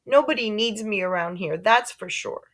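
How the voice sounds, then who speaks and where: A woman's voice: mid-range voice, normal speed, fairly bright tone, pitched above the performer's own voice, lightly acted. A woman voicing an aggrieved, bossy grade-school boy with a blunt, complaining manner, in a storybook read aloud. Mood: sulky, hurt.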